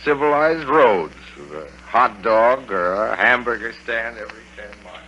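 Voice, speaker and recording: An actor playing a young motorist in a 1940s radio drama, with a dramatic, measured way of talking. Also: male voice, lowish voice, expressive, noisy background, lightly acted. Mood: breezy, reassuring. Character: young male motorist, confident but growing uneasy. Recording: muffled old radio recording.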